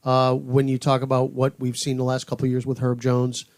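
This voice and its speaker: A man's voice: mid-range voice, completely flat delivery, a little fast, darkish tone. A man, a radio play-by-play announcer, chatting casually on a podcast in a hesitant way, full of "uh" and "you know". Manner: hesitant, filler-heavy phrasing.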